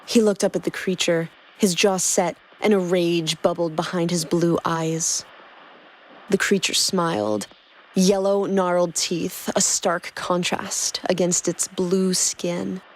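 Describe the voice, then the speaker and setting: A woman's voice: on the low side, steady tone, slow, rough recording. A woman doing dramatic scripted narration of fan fiction as a podcast host. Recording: music clearly behind the voice.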